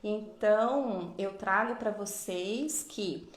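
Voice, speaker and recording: Brazilian dentistry professor in an echoey room. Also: female voice, medium pitch, takes it slowly. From Pernambuco, Brazil. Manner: warm didactic, frequent tag questions. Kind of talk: live online lecture.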